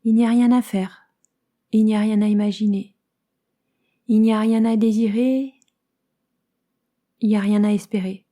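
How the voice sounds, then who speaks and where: A woman guides a yoga nidra meditation as a teacher, calm and soothing, in soft phrases that turn breathy at the ends, with many pauses. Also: medium pitch. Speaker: yoga nidra teacher guiding a relaxation session.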